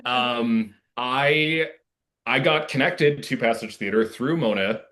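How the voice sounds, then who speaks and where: An American man chatting casually as an interview guest, with a self-effacing, halting delivery. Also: mid-range voice, pushed and bright. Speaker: guest, theater marketing associate and playwright.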